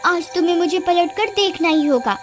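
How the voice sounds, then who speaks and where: A woman's voice: very high-pitched, normal speed, voice pushed up. Woman voicing a taunting cartoon forest witch. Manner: menacing, taunting and coy. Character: cruel cackling female forest witch. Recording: music behind the voice.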